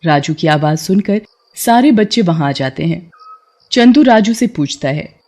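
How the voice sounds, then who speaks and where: A woman's voice: lowish voice, lively intonation, measured pace, dark tone. A woman reading scripted narration for a children's moral story.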